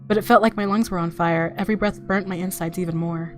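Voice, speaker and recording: A woman's voice: lowish voice, fairly even intonation, quick pace. A woman hosting a gaming video, reading visual novel narration aloud expressively and reacting casually. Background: game music.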